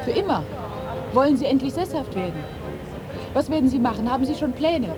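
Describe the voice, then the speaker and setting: High-voiced eager reporter in a crowd. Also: female voice, lively intonation, fairly quick. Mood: eager, pressing. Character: eager female newspaper reporter firing questions. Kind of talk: radio feature acted interview.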